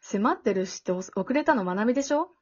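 A woman acting a blunt, exasperated but protective teenage girl in an amateur radio drama, dry and sharp-tongued. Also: on the high side, lively intonation, fast, breathy edge. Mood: exasperated, reluctant. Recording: dull, lo-fi audio.

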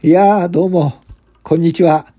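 A man's voice: on the high side, steady tone, moderate pace, mellow, pitched above the performer's own voice, natural voice. A man voicing a young, friendly, unpretentious company president in an amateur radio drama. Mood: friendly, welcoming. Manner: chatty, polite, unpretentious. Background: background music.

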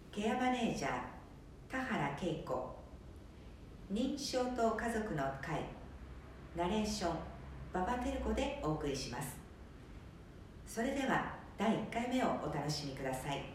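Echoey woman narrating a radio drama. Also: on the low side. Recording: very echoey, distant, faint noise.

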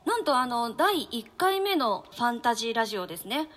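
Female presenter with a high voice, chatting as co-host of a radio show. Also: sing-song, fairly quick, a bit bright.

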